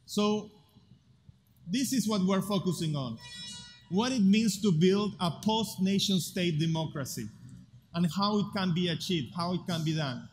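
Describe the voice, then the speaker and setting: A man with an Argentine Spanish accent giving an earnest, deliberate conference talk with rhetorical pauses. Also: high voice, darkish tone, soft background music. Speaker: speaker at a future festival. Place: large hall at a festival. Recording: live hall with long reverb, faint ambience.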